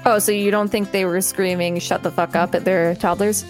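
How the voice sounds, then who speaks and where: A woman with a medium-pitched voice co-hosts a podcast. She reads reference text aloud and chats about it in a casual way. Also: level tone, normal speed, faint music behind. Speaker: podcast co-host. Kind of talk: reading encyclopedia pages aloud with casual commentary.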